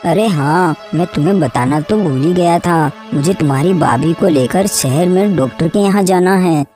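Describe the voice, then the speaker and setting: A woman's voice: on the low side, moderate pace, dark tone, soft background music, close to an everyday voice. A woman voicing a lazy, sly male farmer who makes wheedling excuses in a cartoon moral story. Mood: making excuses.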